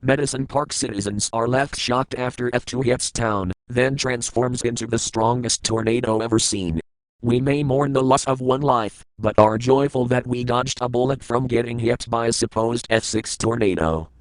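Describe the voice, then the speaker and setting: A man's voice: medium pitch, normal speed, dry recording, poor audio. A man reading scripted news narration as an announcer.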